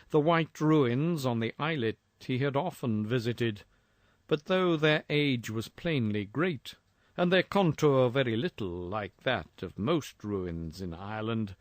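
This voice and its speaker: Slow-paced male horror story narrator. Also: medium pitch, no echo, studio quality. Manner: measured, literary storytelling. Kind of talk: scripted narration of gothic horror fiction.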